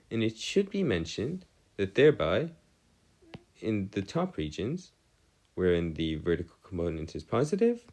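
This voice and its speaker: Male tutor, deep register, lively melodic swings, bookish phrasing, hesitant stop-and-start explanatory delivery. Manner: formal, bookish wording. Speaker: math tutor. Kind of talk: improvised lesson explanation on trigonometry.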